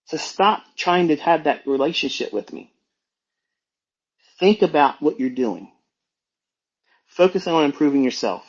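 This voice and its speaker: Male educator, informal monologue. Husky, emphatic, admonishing delivery with repeated phrases, on a dull lo-fi recording. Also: fairly high pitch, animated, unhurried, pauses often. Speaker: trading educator hosting an online audio talk. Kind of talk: informal unscripted monologue, lecturing.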